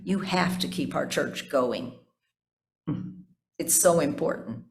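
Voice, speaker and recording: Low-voiced woman pastor giving a sermon.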